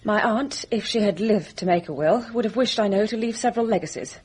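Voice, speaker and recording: An actress playing a composed, formal young gentlewoman hiding hurt in a British radio mystery drama. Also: mid-range voice, a little fast, slight background noise, natural voice. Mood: formal, reserved. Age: young adult. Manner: controlled, formal, decisive.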